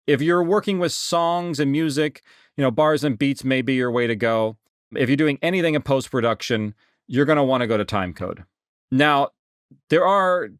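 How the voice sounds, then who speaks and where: A man giving a casual software tutorial as an instructor, explaining things conversationally on a close mic. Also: mid-range voice, clean tone, no echo.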